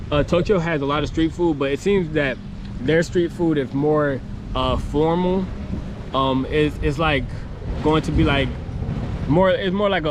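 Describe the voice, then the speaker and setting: Hoarse man vlogging on a noisy street. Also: mid-range voice. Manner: rambling, chatty, many fillers and restarts. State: hoarse, losing his voice. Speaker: travel vlogger. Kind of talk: casual vlog talk to camera. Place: outdoors on a city street near construction. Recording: noisy street with passing traffic. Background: traffic and city street noise.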